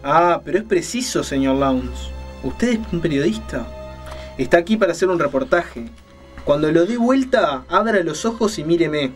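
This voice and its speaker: A man acts out a coldly courteous, delusional killer for a dramatized story. The voice sits a little high for a man and is deliberate, formal and threatening. Music plays behind it. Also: lively intonation, close to an everyday voice. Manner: formal, deliberate, mockingly polite, interrogating. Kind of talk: dramatized audiobook reading.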